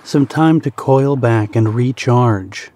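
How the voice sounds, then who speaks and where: A man narrating a guided meditation in a soothing, deliberate manner, pausing between short phrases. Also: mid-range voice, mellow.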